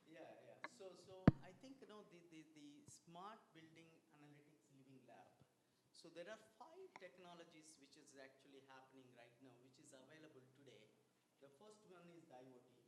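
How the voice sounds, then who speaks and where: A man's voice: a little high, expressive, normal speed. Echoey man listing points on a panel. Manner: lists points, leans on "you know" and "right?". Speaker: academic panelist. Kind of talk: panel discussion answers. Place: large event room. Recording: very echoey room with noise.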